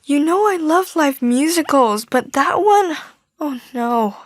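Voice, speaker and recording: A confident young singer played by a woman chats in a high voice, recorded dry and close. She is talkative and sure of herself, in the manner of audio drama dialogue. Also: expressive, slow pace, no room sound. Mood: animated, chatty. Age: young adult.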